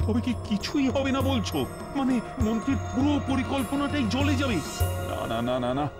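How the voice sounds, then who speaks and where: Nervous cartoon king, high and swoopy voice, stammers a lot, music under it. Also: very animated, a little fast. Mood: worried. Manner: worried, stammering. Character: anxious fretful king, middle-aged man. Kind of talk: cartoon voice acting. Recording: studio dub with background music.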